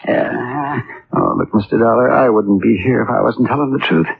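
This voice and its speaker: An actor playing an agitated, guilt-ridden narcotics accomplice in an old-time radio crime drama, halting and pleading as he speaks. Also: medium pitch, gravelly. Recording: muffled, hissy old radio recording.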